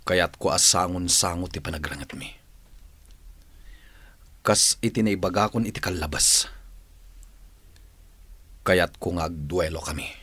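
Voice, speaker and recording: A bitter, resolute tough man bent on revenge in a radio drama. His voice is very low and husky, brooding over every threat. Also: measured pace, pauses often, bright, forceful tone, dry recording, full character voice. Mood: brooding, vengeful. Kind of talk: Ilocano radio drama acting.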